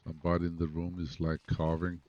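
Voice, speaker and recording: A man's voice: low voice, monotone, a little fast, a little mellow, dry recording. A man commenting on his own backgammon match, thinking aloud in English, which is not his first language. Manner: analytical, muses over moves half to himself. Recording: dull lo-fi recording.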